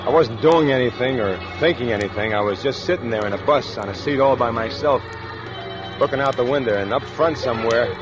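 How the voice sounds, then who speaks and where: An actor playing a nervous killer on the run, narrating in tough colloquial talk in 1940s radio style. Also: male voice, medium pitch, a little fast, over music, close to an everyday voice, rough recording. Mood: brooding, haunted. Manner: colloquial tough-guy talk with tense hesitations. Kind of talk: 1940s radio acting, first-person crime narration. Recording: old radio recording with musical underscore.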